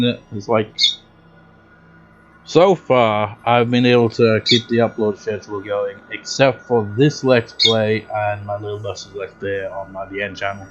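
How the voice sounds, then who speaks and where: A man's voice: medium pitch, dull audio. A man hosting a video game let's play, chatting in a rambling, self-deprecating way while still getting over a cold. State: recovering from a cold. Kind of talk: rambling casual commentary.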